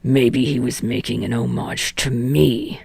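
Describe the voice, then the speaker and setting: A woman's voice: extremely low pitch, steady tone, a little slow, gravelly, a little mellow, breathy edge, no echo, pitched below the performer's own voice, heavily acted. A woman reading a fan story aloud and voicing a surly, defensive young male actor who talks in curt, gritted bursts. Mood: sullen.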